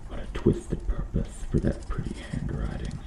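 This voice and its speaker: A man voicing a cruel, bitter medieval knight in a slow-burn ASMR roleplay, speaking with brooding, deliberate menace. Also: roomy sound, noisy background, lightly acted. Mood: menacing, accusing. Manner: brooding, menacing, deliberate delivery with dropping hushed phrases.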